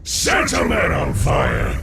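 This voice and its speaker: A man voicing a theatrical, menacing fire elemental lord, proclaiming in drawn-out words. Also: close to an everyday voice. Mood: menacing, wry. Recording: loud noisy ambience behind the voice.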